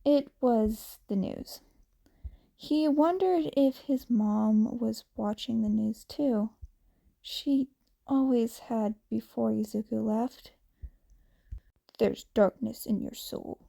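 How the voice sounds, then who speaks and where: A woman's voice: fairly high pitch, takes it slowly, gravelly, dark tone. A woman narrating a fan fiction story as an audiobook reader. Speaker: podfic narrator.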